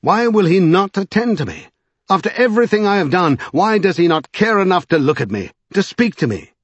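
A man's voice: medium pitch, animated, brisk, husky, dry recording, muffled recording, pitched above the performer's own voice. A man voicing an irritable, passionate, self-pitying magician in an audiobook. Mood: raging, self-pitying. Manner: irritable, demanding.

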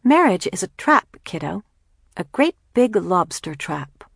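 A woman's voice: medium pitch, lively intonation, a little slow, a little mellow, no room sound, studio quality, voice pushed up. A woman voicing a sarcastic, dramatic teenage older sister in an audiobook, with grandly theatrical delivery. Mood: scornful. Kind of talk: acted character dialogue in audiobook.

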